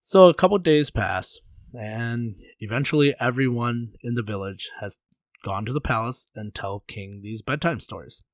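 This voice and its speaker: A man's voice: mid-range voice, moderate pace, no room sound. A man telling a folktale as a storyteller in a casual, conversational way, with playful slang.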